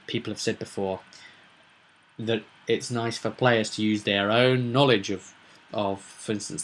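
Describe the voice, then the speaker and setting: A man's voice: medium pitch, fairly bright tone. A man hosting a tabletop roleplay vidcast, talking informally and discursively as a game master, often adding tag questions.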